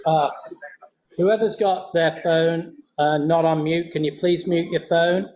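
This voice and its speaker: Man chairing a meeting, somewhat high voice. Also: steady tone, a little mellow. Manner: brisk, procedural. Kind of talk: running a meeting. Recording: dull meeting room audio, faint noise.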